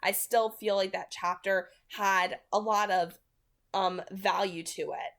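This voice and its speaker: Young woman with a mid-pitched voice. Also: fairly even intonation, takes it slowly, fairly bright tone. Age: 20s. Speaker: reader and reviewer on a read-aloud channel. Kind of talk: audiobook-style reading, then casual book commentary.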